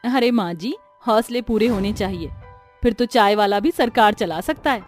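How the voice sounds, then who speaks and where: A woman voicing a resourceful young daughter-in-law in an animated moral story, brisk and encouraging. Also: mid-range voice, soft background music, natural voice. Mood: hopeful.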